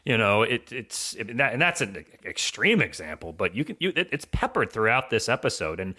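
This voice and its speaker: A man's voice: fairly low pitch, big pitch swings, a little fast, pushed and bright, slightly rough. A man chatting casually as a guest on a podcast. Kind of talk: casual chat.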